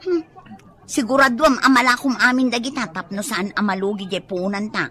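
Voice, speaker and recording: An actress with an Ilocano accent playing a fish vendor's wife in a comedy radio drama, teasing and complaining. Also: female voice, on the high side, steady tone, brisk, fairly bright tone, lightly acted. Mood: nagging.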